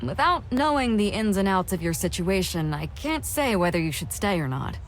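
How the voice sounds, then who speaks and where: A woman playing a warm, confident, easygoing ship captain in a video game, hearty and frank. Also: medium pitch, sing-song, moderate pace, slight background noise, lightly acted. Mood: warm, reassuring. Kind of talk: scripted video game voice acting.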